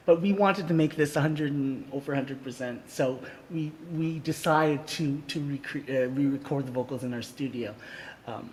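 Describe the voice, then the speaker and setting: A middle-aged man hosting a casual live conversation, halting and often repeating his words as he goes. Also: medium pitch, a little mellow. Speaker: podcast host and music producer. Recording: reverb-drenched live stream, clear background noise.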